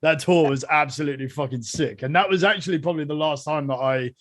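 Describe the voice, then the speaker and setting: A man's voice: a little high, quick pace, dry recording, studio quality. A man hosting a podcast, telling stories animatedly and swearing freely in casual chat. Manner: animated storyteller, heavy swearing, laughs a lot. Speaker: podcast host.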